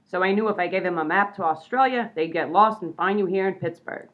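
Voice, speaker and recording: A woman's voice: on the low side, a little fast, natural voice. A woman voicing a smug, double-crossing gangster's moll in a comedy radio play. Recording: tinny phone-like audio.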